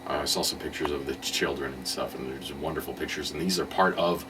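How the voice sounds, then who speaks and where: A man's voice: a bass voice, steady tone, brisk, distant mic. A man interviewing casually on the radio, with an informal, loosely phrased way of talking. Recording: noisy, off-mic radio interview recording.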